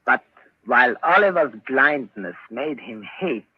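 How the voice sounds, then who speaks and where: An actor playing a grave, measured doctor in a 1940s radio drama, speaking deliberately. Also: male voice, mid-range voice, animated, slightly rough, no room sound. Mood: grave, questioning. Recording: muffled old-time radio recording.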